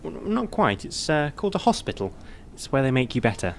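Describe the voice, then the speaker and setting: A man's voice: medium pitch, big pitch swings, brisk, gravelly, a little hiss or hum. A man voicing a timid young librarian who hesitates and stammers in a radio play. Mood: awkward.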